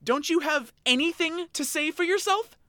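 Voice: medium pitch, big pitch swings, moderate pace, fairly bright tone, no echo, studio quality, voice pushed up. A reader voicing an angry, boyish male otter creature who snarls and speaks with stiff formality.

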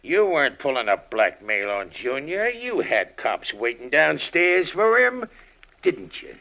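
A gravelly old American gangster, hard and worn out. The voice is sharp and swings widely in pitch, in the manner of old radio crime acting. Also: male voice, very animated, muffled recording, heavily acted. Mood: weary, resigned. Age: older. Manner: tough underworld slang, deliberate menace. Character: tired, hard old ex-convict gangster, avenging.

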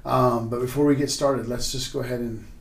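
A man's voice: mid-range voice, steady tone, brisk, gravelly, a little hiss or hum. A man speaking as a pastor and podcast host, informal, asking simple questions and giving short affirmations. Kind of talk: casual interview and spoken prayer. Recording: roomy, echoey space.

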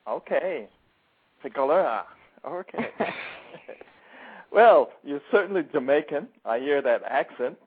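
A man's voice: a little high, expressive, takes it slowly, long pauses, slightly rough. A man co-hosting a show, putting questions to a guest in a friendly, conversational way. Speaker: co-host interviewing a guest. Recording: thin phone-like line.

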